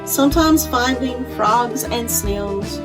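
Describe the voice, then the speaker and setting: A woman's voice: on the high side, takes it slowly, over music. A woman hosting a children's storytime, explaining simply and reading a picture book aloud for young listeners. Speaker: children's librarian, storytime host.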